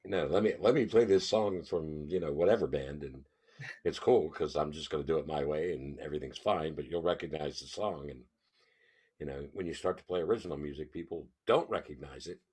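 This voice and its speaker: A man's voice: rumbling low, fairly quick, a little mellow, breathy edge. A man hosting a casual music chat, easygoing with short affirmations. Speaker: host.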